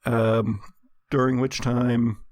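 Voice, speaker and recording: An American man working as game master, narrating and ruling on a tabletop game in a measured, descriptive way with deliberate pauses. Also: mid-range voice, little pitch movement, darkish tone, no room sound, clean studio sound. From United States. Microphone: close studio microphone.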